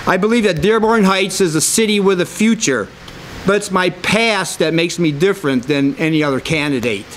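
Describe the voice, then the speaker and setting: Higher-pitched American man in his sixties. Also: normal speed, slight background noise. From Michigan, United States. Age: 60s. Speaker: city council candidate. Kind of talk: informal introductory speech at a candidate forum.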